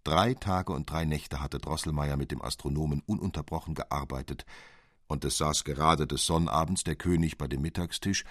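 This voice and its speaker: Deep-voiced man narrating a fairy tale. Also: moderate pace, fairly bright tone, no room sound, studio quality. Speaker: audiobook narrator.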